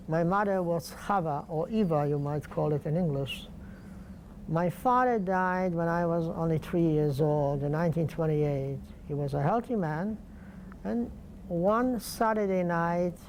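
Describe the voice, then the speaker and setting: An older man from Poland, speaking slowly and deliberately with pauses in an oral history interview. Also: a little high, darkish tone, slight background noise, rough recording. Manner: slow, deliberate, halting with pauses.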